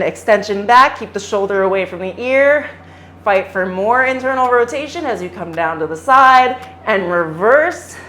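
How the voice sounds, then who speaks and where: A woman fitness instructor giving calm step-by-step exercise cues, her voice slightly hoarse from singing at a concert. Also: a little high. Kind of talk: guided workout cueing.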